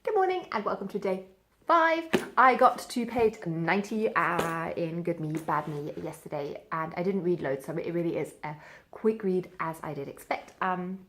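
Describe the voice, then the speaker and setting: A woman's voice: lowish voice, animated, moderate pace, a bit bright, a little hiss or hum. A woman talking casually and informally as a book vlogger, thinking aloud as she goes. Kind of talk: casual spoken reading vlog.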